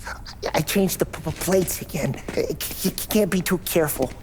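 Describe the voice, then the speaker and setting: An actor playing a timid, nervous mechanic with a heavy stutter in a gangster video game. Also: male voice, high voice, moderate pace, background noise, close to an everyday voice. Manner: timid, hesitant. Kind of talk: video game cutscene acting.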